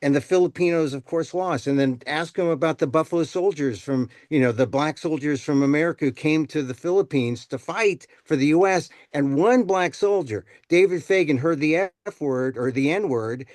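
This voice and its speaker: A man's voice: on the high side, normal speed, few pauses, darkish tone, no room sound, clean studio sound. A man who performs comedy and storytelling, talking casually in a panel discussion.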